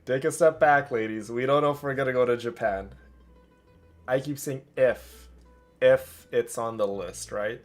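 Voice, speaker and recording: A man's voice: mid-range voice, a little slow, soft background music. A man hosting a livestream, chatting casually and addressing viewers with doting affection. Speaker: livestream host. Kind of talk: casual chat while streaming a game.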